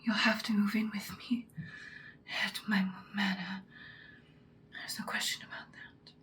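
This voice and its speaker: A woman voicing an imperious, seductive ancient vampire in a breathy, dramatic whispered ASMR roleplay. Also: mid-range voice, level tone, bright, forceful tone. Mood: pondering, possessive. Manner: slow, deliberate, dramatic. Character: ancient female vampire, seductive, imperious, menacing yet protective.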